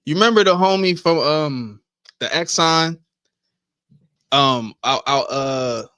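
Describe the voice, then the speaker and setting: A man hosting a casual podcast, talking loud and projecting, full of slang and swearing. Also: a little high, expressive, slow pace, smooth, no room sound, studio quality. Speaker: podcast host. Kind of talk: casual chat.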